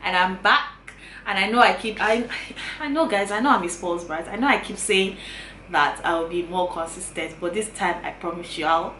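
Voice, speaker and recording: A woman's voice: medium pitch, moderate pace, a little hiss or hum. A woman in her twenties talking casually to camera, giving earnest advice and often repeating her phrases. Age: 20s. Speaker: YouTuber. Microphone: camera mic. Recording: bright, roomy sound with faint ambience.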